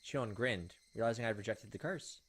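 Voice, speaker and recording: A man's voice: fairly low pitch, moderate pace, slightly rough. A man doing casual let's play commentary, moving between reading visual novel text aloud and giving his own reactions. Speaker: gaming video host.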